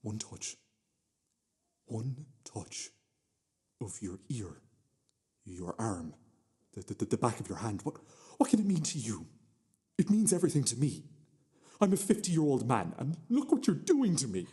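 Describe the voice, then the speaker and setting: An actor playing an obsessive, self-abasing fifty-year-old husband in a radio play, repeating himself insistently and stammering. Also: male voice, medium pitch, very animated, a little slow, pauses often, dark tone, slightly rough. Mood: desperate pleading.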